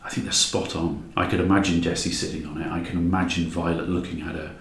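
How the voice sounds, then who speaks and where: A man's voice: sits low, level tone, fast, a little hiss or hum. A man chatting casually as a podcast guest, enthusiastic and emphatic. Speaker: podcast guest, drama creator. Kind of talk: casual chat. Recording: echoey room with noticeable background ambience.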